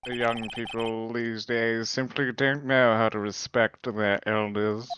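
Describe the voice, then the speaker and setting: A man voicing a smug, formal young prosecutor, measured and declamatory, in a live game fan dub. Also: medium pitch, level tone, noisy background, close to an everyday voice. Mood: confident.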